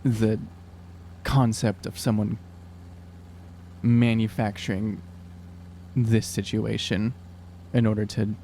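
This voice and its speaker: A man roleplaying at a tabletop game, halting and exasperated, often repeating his words. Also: fairly low pitch, level tone, dark tone, slight background noise. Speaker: player in an actual-play podcast. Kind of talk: in-character tabletop roleplay chat.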